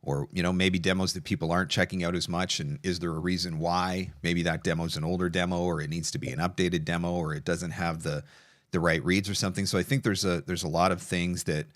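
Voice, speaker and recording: A man's voice: low voice, level tone, fairly quick, a bit bright, no room sound, clean studio sound. A man hosting an online session in a conversational style, guiding a guest and explaining points to viewers. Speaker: session host and voice actor.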